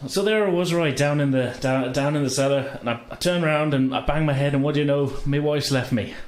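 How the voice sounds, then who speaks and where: Man doing a rambling, hapless dwarf innkeeper, higher-pitched. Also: fairly quick, roomy sound, lightly acted. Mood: rambling, rueful. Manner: meandering, good-humoured storytelling.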